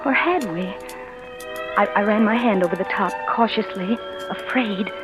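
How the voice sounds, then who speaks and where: An actress playing a bewildered, frightened young wife who narrates in a stammering, breathless way, in a 1940s radio drama. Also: female voice, mid-range voice, normal speed, close to an everyday voice. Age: young adult. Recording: old radio broadcast with music.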